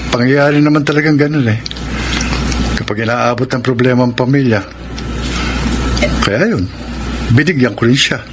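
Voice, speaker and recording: An actor as an apologetic husband, noisy recording. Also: male voice, medium pitch, normal speed, long pauses, close to an everyday voice. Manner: hesitant, explaining himself. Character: apologetic, put-upon husband and soft-hearted younger brother. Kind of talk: radio drama acting.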